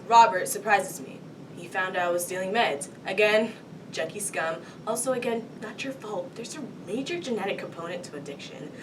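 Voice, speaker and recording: A woman performing a cocky police detective who lays out a crime to a suspect in a comic TV monologue, taunting and dramatic. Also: lightly acted. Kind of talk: comedy TV monologue performed solo. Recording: distant mic, rumbling background noise.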